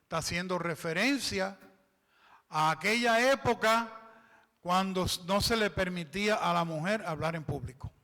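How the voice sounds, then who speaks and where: A man's voice: high voice, normal speed, pushed and bright. A man preaching as a pastor, reading scripture and asking the congregation to fill in the words. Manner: reads scripture aloud and explains it. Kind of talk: sermon. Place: church hall. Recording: long reverb of a church hall.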